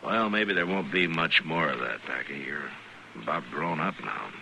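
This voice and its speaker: A calm, weary American lawman of the Old West, speaks extremely low with a gravelly edge. He is laconic and dryly authoritative, in a noisy old radio western recording. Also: full character voice. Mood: gentle, reflective. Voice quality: deep, gravelly. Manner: laconic, measured, dry.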